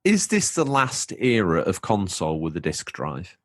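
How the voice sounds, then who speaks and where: A man's voice: low-pitched, wildly swooping pitch, normal speed, a bit bright, no room sound, studio quality. A man co-hosting a casual podcast chat, wry and full of rhetorical questions. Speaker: podcast co-host.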